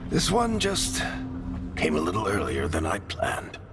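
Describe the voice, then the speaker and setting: A very deep male game character, a weary and wry friend with fatherly warmth. His intonation rises and falls dramatically in an informal, unhurried way, with music playing strongly behind him. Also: huge pitch swings, exaggerated voice. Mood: gentle, wry farewell. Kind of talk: cinematic game dialogue acting.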